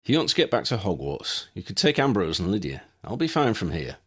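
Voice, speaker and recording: A man playing a gruff, impatient young wizard lawman. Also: on the low side, big pitch swings, fairly quick. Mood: firm. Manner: curt, gruff, demanding.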